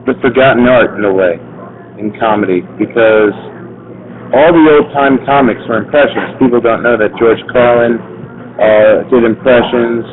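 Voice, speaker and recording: A man hosting an online talk show, chatting casually and giving his opinions in a loose, rambling way. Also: medium pitch. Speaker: show host. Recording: tinny phone-like audio with crowd chatter behind.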